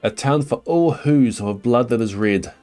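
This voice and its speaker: A man voicing a worried, urgent small-town mayor in a storybook read aloud, declaiming slowly. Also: mid-range voice, a little mellow, faint music behind, lightly acted.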